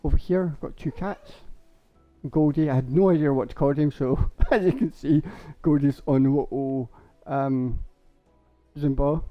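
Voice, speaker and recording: A young man with a sore, hoarse throat, rambling casually and laughing as he shows off a house in a game. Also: fairly high pitch, a little slow, soft background music. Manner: rambling, chatty, laughs a lot, says basically often. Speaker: gaming streamer. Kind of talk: casual Minecraft house tour. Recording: dull lo-fi stream audio.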